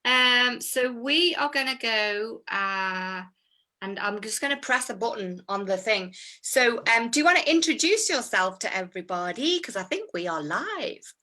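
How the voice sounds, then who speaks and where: A woman's voice: a little high, expressive, measured pace, bright, forceful tone. A woman hosting an improv scene, chatty and giggly with lots of fillers. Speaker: improv performer and host. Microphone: video call.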